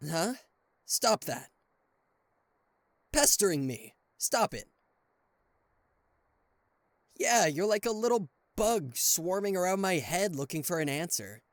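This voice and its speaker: A man playing a cocky, playful and easily flustered king of hell as an affectionate boyfriend in an ASMR roleplay, with theatrical teasing pauses and stammers. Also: sits high, expressive, dry recording, clean studio sound. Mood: flustered denial. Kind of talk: ASMR roleplay addressed to the listener.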